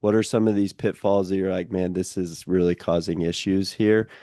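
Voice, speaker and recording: A young man hosting a podcast interview who calls his own voice monotone and talks casually with lots of fillers. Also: sits low, moderate pace, no room sound, studio quality. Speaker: podcast host.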